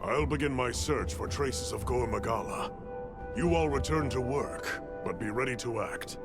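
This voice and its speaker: Male actor as composed game expedition leader, creaky mid-pitched voice, formal, measured, authoritative, music loud behind. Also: natural voice. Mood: resolute. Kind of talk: video game cutscene acting.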